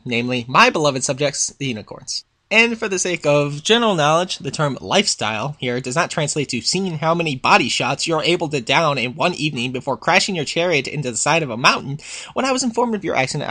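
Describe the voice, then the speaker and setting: A man's voice: fairly high pitch, sharp tone. A man voicing a haughty, exasperated royal pony princess in a dramatic fan fiction reading, with pompous, scathing, formal diction. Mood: withering, disappointed.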